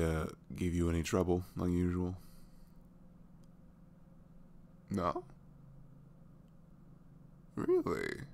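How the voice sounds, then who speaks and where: A man playing a sweet, tired single dad in his twenties in an intimate ASMR roleplay, talking haltingly. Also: low-pitched, a little hiss or hum, full character voice. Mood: amused, fond. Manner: hesitant, halting, softly chuckling.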